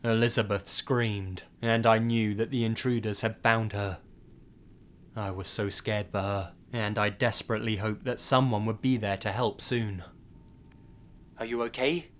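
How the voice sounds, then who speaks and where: A man reading a scripted first-person horror story in a measured, grave and suspenseful manner. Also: fairly low pitch, fairly even intonation, a little hiss or hum. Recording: music behind the voice. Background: background music.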